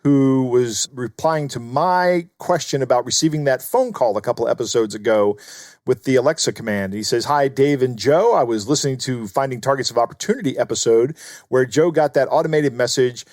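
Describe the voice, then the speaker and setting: A man's voice: medium pitch, brisk, a little mellow, dry recording. Co-host: American man, mid-range voice, conversational, comfortable reading correspondence aloud, recorded very dry. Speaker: podcast co-host. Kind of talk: casual chat and reading out listener mail.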